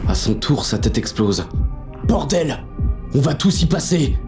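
An actor playing a hard-boiled, bitter police inspector who narrates a thriller in terse, intense first person. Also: male voice, on the high side, sing-song, measured pace, music in the background. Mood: shocked, urgent. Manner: gritty, terse, intense delivery.

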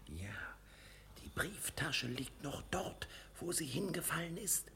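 A man's voice: on the high side, sing-song, slow pace, gravelly, bright, forceful tone, a little hiss or hum, heavily acted. An actor playing a polite, cautious detective speaking under his breath in a 1960 German radio crime play. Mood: hushed, cautious.

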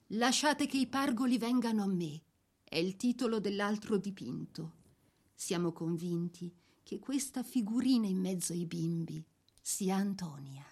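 A woman reads a scripted life story for radio. Her voice sits at a middle pitch. She is solemn and measured, setting short phrases apart with deliberate pauses. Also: creaky, a little mellow, breathy edge. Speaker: narrator. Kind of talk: scripted dramatic biographical narration for radio.

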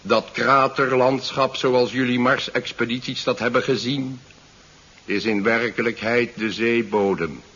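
A calm, patronising Martian overlord in an old science fiction radio play. He speaks slowly and deliberately, with a slightly husky voice, on a dull vintage recording. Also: mid-range voice. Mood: solemn narrating. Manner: measured, soothing, patronising, speech-making. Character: calm, condescending Martian captor addressing his defeated human prisoner. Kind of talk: 1970s science fiction radio drama acting.

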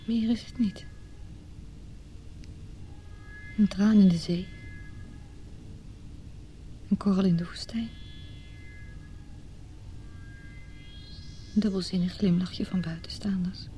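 An actress playing a wounded, bitter mistress in her thirties in a radio drama, speaking in a breathy, halting, often whispered voice. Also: mid-range voice, steady tone, warm tone, faint music behind, lightly acted. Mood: bitter despair. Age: 30s. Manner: slow, halting, anguished. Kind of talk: radio drama acting, intimate inner monologue.

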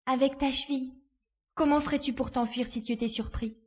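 A woman playing a spirited, resourceful young girl in a dramatised audiobook, lively and assured in her delivery. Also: high-pitched, fairly quick, husky. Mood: firm, teasing. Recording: faint background noise.

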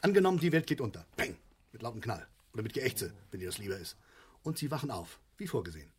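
An actor playing an excitable, scheming middle-aged father in a German satirical radio play, theatrical, rambling and insistent. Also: mid-range voice, quick pace, breathy edge. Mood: sarcastic ranting. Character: excitable, scheming, stubborn father arguing over a unicorn.

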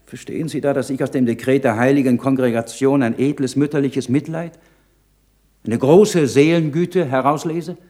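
An actor playing an earnest, troubled learned monk in a radio play, speaking in a measured, solemn, rhetorical way. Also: male voice, mid-range voice, steady tone, warm tone, close to an everyday voice. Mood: bitter, impassioned. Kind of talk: German radio play acting.

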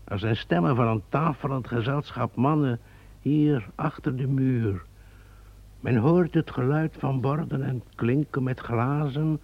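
Man as ominous stranger, slow, gravelly, husky, lo-fi old radio sound. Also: medium pitch. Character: Judas, a mysterious male lawyer who waits in ruins. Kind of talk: radio drama acting. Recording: dull old radio play recording.

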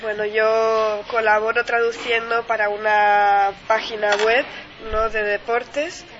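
A woman's voice: on the high side, flat delivery, slow pace, dull audio, noisy background. A woman in her twenties from Switzerland, a master's student speaking as a guest in a casual radio interview, often adding tag questions. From Ticino, Switzerland.

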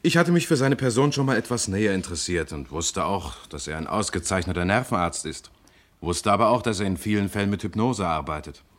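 An actor playing a shrewd police inspector in an old German radio crime play, formal and measured with controlled courtesy. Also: male voice, sits low, animated. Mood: calm explaining. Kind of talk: mid-century German radio crime drama acting.

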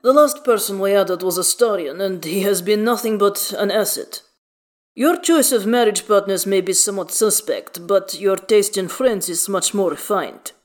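A woman's voice: medium pitch, moderate pace, faint music behind, natural voice. A woman voicing a courteous, kindly man with formal, considered phrasing in an audio story. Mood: measured.

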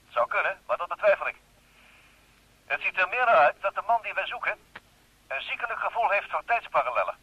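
An actor playing a wry, bantering detective in a radio play, familiar and teasing in manner. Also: male voice, high voice, sing-song, a little fast, pauses often, husky, no room sound, exaggerated voice. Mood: wry, businesslike. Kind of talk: Dutch radio play acting. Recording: dry, slightly dull radio play recording.

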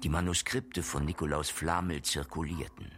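A man's voice: rumbling low, fairly even intonation, moderate pace, noisy background. A man delivering scripted, essay-like radio drama narration in a formal, rhetorical manner. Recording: music playing behind.

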